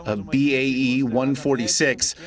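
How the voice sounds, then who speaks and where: Man, news reporter, medium pitch, scripted narration, measured solemn delivery. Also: steady tone.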